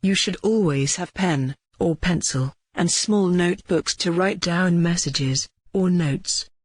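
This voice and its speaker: A tutorial narrator reading scripted instructions in a measured, formal way. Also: medium pitch, no echo, clean studio sound.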